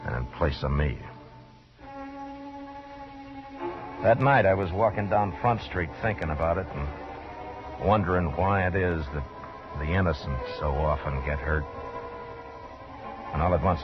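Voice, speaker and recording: An actor playing a grim, weary middle-aged western lawman in a 1950s radio drama, speaking in a terse, deliberate, understated way. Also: rumbling low. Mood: somber, brooding. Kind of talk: 1950s western radio drama acting with first-person narration. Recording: dull vintage radio recording with music.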